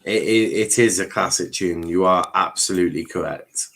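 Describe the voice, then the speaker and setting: A man's voice: low-pitched, moderate pace, gravelly, fairly bright tone. A man hosting a livestream watchalong, giving casual race commentary with informal, sweary, joking asides.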